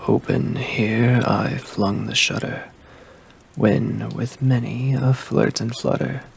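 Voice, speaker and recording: Slow, gravelly deep-voiced man reading softly. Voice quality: deep, gravelly voice with heavy fry. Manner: slow, hushed delivery slipping into whispers. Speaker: ASMR creator. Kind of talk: ASMR poetry reading. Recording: faint rain ambience behind the voice. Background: rain ambience.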